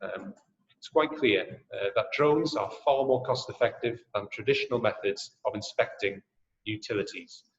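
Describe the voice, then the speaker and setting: A man from Newcastle with a Geordie accent, hosting a webinar and making a friendly sales pitch full of ums and uhs. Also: normal speed, gravelly, a little hiss or hum. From Newcastle, England. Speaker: webinar host and drone sales trainer. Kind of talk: presenting a webinar and answering questions. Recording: echoey, dull webinar audio.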